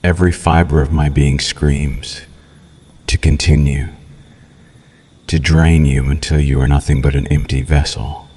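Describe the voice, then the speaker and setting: A man's voice: rumbling low, flat delivery, a little mellow. A man playing a cruel, seductive vampire in an ASMR roleplay, taunting the listener with formal, theatrical menace. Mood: intoxicated, hungry. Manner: slow, theatrical, mocking, menacing purr with formal diction.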